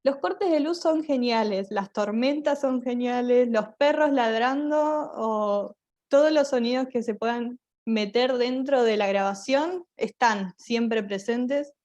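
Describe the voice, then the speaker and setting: A woman's voice: on the high side, takes it slowly, a little mellow, highs cut off. A woman with an Argentine accent speaking as a guest, giving informal, anecdotal answers about her work. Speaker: guest, radio theatre maker.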